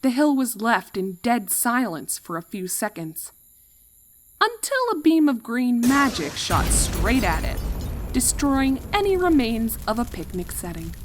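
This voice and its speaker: A woman's voice: on the high side, very animated, unhurried, background noise. A woman reading a fan fiction story as a scripted narration.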